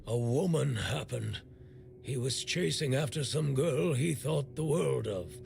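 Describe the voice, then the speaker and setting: An actor playing a bitter, blunt, middle-aged blacksmith in a video game, grumbling and weary with worry. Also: male voice, medium pitch, animated, unhurried, vocal fry, a little mellow. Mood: weary, worried. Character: gruff, bitter male blacksmith, worried for a stricken young man.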